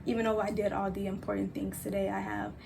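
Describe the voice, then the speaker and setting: A woman vlogger with a soft voice, chatting casually to camera at home with lots of fillers. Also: medium pitch, little pitch movement, fast talker, gravelly. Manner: chatty, rambling, many fillers. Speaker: lifestyle vlogger. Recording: loud fan noise behind. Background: loud fan.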